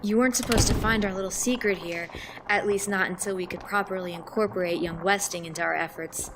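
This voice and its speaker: A woman's voice: mid-range voice, fairly even intonation, a little fast, noisy background, close to an everyday voice. A woman playing a grandly pious cult leader in an audio drama, speaking in a formal, ceremonious way with a hint of menace. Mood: smug menace.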